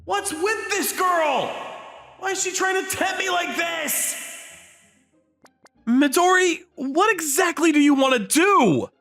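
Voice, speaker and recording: A man's voice: very high voice, slow pace, pushed and bright, echoey room, big-room sound, soft background music, heavily acted. A man voicing an easily flustered, incredulous teenage schoolboy in a romantic comedy comic dub. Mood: panicked. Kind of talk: anime-style comic dub, dialogue and inner monologue.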